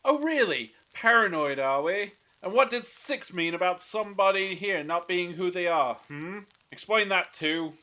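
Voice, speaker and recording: A man's voice: sits high, lively intonation, moderate pace, few pauses, pushed and bright. A man acting a suspicious, bossy, sarcastic character in an audio drama. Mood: accusing, scornful. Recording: noisy fan audio drama recording.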